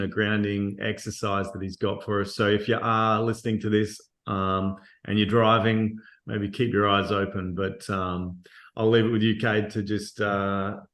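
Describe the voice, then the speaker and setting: Slow, low-voiced podcast host. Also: male voice, a bit bright, roomy sound. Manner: halting, many fillers. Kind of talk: live podcast introduction.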